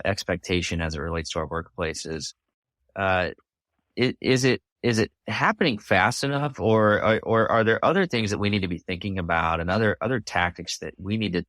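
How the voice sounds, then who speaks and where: Male, podcast host, low-pitched voice, dry close sound, conversational interviewing. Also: fairly bright tone, dry recording.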